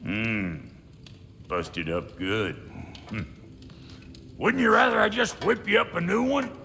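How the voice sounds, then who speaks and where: An actor voicing a cranky, foul-mouthed dwarf blacksmith in a video game, gruff and teasing, with a folksy American accent and backwoods grammar. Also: male voice, high-pitched, slow pace, sharp tone, a little hiss or hum, heavily acted. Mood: gruff helpful. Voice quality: gravelly. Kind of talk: video game character acting.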